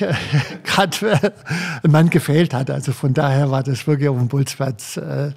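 A man in his sixties with a slight Berlin accent, recalling his past in an interview at a desk mic in a small room, halting with many fillers. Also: on the high side, creaky, dark tone. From Berlin, Germany. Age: 60s. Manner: halting, many fillers, slows when remembering. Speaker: guest, former footballer. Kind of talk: interview, personal recollections. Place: small room with window, seated at table. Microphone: desk podcast mic.

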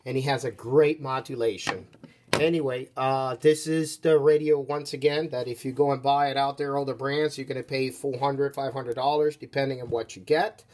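Male, medium pitch, casual product demonstrator, halting delivery, step-by-step explanations, unscripted. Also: steady tone, darkish tone, a little hiss or hum. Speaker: radio seller giving a product demonstration.

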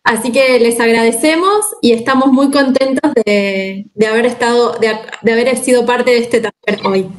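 A woman in her thirties with a fairly high voice and an Argentine accent hosts an online workshop. She talks informally, as on a video call. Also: normal speed, hardly any pauses. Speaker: workshop host. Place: indoor room with plain wall. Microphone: computer mic on a video call.

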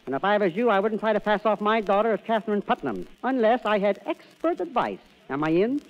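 An American actor playing a shrewd, folksy small-town schemer with rustic speech in an old radio comedy. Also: male voice, sits high, animated, a little fast. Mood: shrewd, insistent. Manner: rustic, colloquial. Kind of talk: 1930s radio comedy acting. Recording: dull vintage radio recording.

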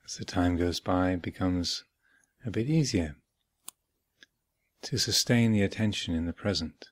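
A man giving guided meditation instruction as a teacher, speaking in measured short phrases with long pauses between them. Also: sits low, no room sound. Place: retreat center, close dry recording.

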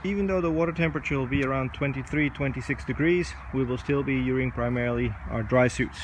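A man in his forties talks into a phone camera held at arm's length outdoors, his voice at a middle pitch. He speaks haltingly, fills gaps with "um", and stops to find his words. Faint outdoor noise sits behind him. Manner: hesitant, many fillers, searches for words. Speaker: dive instructor vlogger. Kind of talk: casual selfie vlog. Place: outdoors, covered shelter and lawn.

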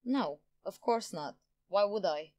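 A woman's voice: fairly low pitch, normal speed, close to an everyday voice. A woman playing a smug, power-hungry politician in an audio drama, pompous and theatrical in manner. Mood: indignant, condescending. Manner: theatrical, pompous, self-satisfied. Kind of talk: audio drama villain acting.

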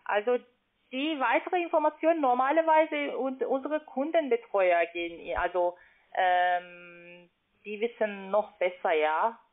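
A call centre agent, a woman speaking with a foreign, non-native accent, halting and searching for words on a phone call. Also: mid-range voice. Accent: foreign, non-native German. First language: not German. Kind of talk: unscripted phone sales call. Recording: narrow tinny phone line.

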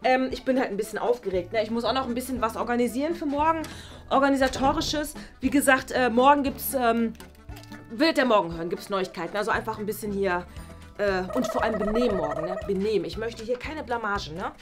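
A woman's voice: a little high, quick pace, faint music behind, close to an everyday voice. A woman voicing a scatterbrained, flustered female teacher who stumbles over her words in a toy play series. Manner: hesitant, full of "äh" and "ne?". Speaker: teacher figure Frau Bartsch.

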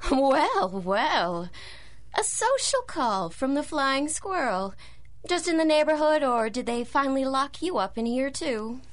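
A woman's voice: high-pitched, big pitch swings, unhurried. An actress playing a cynical, mocking female supervillain inmate in a 1930s-style radio drama. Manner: sneering, sardonic, tough.

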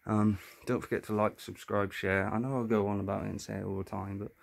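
A man's voice: fairly low pitch, level tone, fast, gravelly. A man giving casual, sweary exploring commentary, muttering to himself and to viewers on a handheld recording indoors. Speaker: urban exploration vlogger. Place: indoors in a cluttered, dusty abandoned house. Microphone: handheld phone or camera. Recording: noisy handheld recording with clicks.

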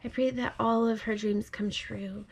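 A woman speaks in a gentle, child-directed way, like a parent at bedtime. Her voice sits a little high and often breaks into creak. It is a home recording with some faint noise behind it. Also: steady tone, moderate pace. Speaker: parent reading aloud. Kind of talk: bedtime storybook read-aloud. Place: bedroom at home.

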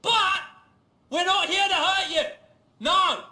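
A manic bank robber in a game. He drags out his emphatic shouts in a very high, piercing voice with room echo. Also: fairly even intonation, slow, exaggerated voice. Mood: manic, agitated. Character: manic, foul-mouthed South African male robber. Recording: roomy echo.

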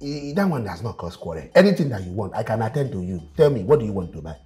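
A man's voice: on the low side, very animated, quick pace. A man with a Nigerian accent playing a cheeky, bargaining medicine shopkeeper in a comedy skit. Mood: coaxing. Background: loud music.

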